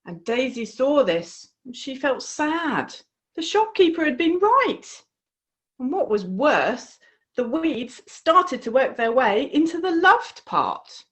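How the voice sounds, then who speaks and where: A woman reading her children's storybook aloud in an expressive, encouraging way. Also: high-pitched, takes it slowly. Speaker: author reading her own book.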